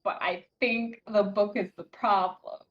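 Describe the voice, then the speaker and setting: Female tabletop player, unhurried and very slow, casual roleplay chat, muffled lo-fi recording. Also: mid-range voice, steady tone.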